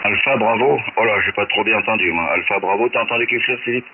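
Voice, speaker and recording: A man's voice: on the low side, fast. A man chatting casually as an amateur radio operator over a shortwave transmission. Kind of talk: casual ham radio chat. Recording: narrow-band shortwave radio, clear hiss.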